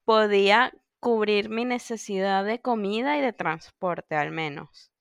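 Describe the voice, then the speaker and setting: A woman co-hosting a podcast, telling stories in animated casual chat with quoted asides. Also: medium pitch, measured pace, creaky, clean tone, no echo, studio quality. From Venezuela. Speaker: podcast co-host.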